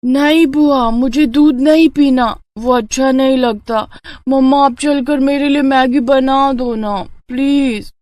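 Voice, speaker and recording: Woman acting a high-pitched pleading little girl. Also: steady tone, takes it slowly, darkish tone, no echo. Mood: fussy, pleading. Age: child figure. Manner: wheedling, childish pleading. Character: little niece girl, pleading and fussy about food.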